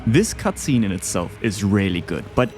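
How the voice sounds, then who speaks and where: A man's voice: lowish voice, very animated, moderate pace, darkish tone, a little hiss or hum. A man hosting a video, giving casual, opinionated commentary in an informal, slangy way. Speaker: video host ranking game bosses. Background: background music.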